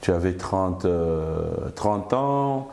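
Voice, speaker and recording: Homme grave à la voix sombre qui taquine. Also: male voice, sits low, animated, very slow, gravelly, a little mellow. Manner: playful, joking, laughs often. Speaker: interview host. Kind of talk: teasing casual interview.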